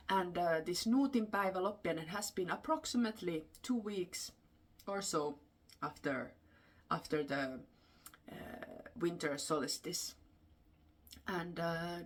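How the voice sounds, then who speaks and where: A woman with a Finnish accent telling folklore in an unscripted, explanatory way, with halting phrases and frequent pauses. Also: lowish voice, breathy edge. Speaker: storyteller and host.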